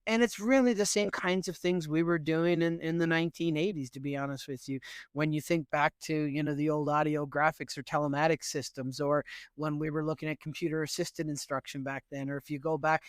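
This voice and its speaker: A middle-aged man from Newfoundland, Canada, answering interview questions as an education professor in an earnest, animated way with frequent fillers. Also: a little high, a little fast, clear, resonant tone, no echo, clean studio sound.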